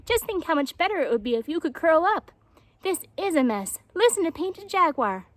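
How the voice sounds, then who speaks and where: Woman doing a perky, high-pitched male hedgehog. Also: very animated, normal speed, no room sound. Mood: eager. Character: perky, chatty male hedgehog, eager and self-satisfied.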